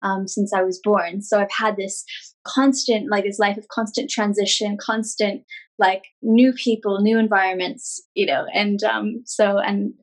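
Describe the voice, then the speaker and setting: Female guest, 30s, from California, relaxed and talkative. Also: mid-range voice, measured pace, low-quality recording. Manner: chatty, rambling with many fillers and laughs. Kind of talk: casual interview chat.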